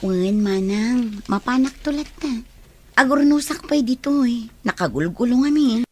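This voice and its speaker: A woman's voice: on the high side, lively intonation, measured pace. A woman acting as a younger sister in a radio drama, speaking in a casual, familiar way. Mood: listless. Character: bored, teasing younger sister at home.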